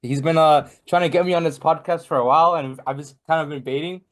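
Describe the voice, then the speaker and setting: A man's voice: a little high, steady tone, quick pace. A young man from Bangladesh, a podcast guest in casual chat, rambling with many fillers and restarts. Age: young adult.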